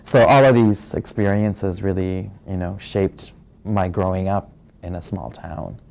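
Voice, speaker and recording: Deep mellow-voiced man from Fiji. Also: creaky. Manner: soft-spoken and reflective, many pauses and fillers. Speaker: interviewee sharing his story. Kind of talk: personal testimony.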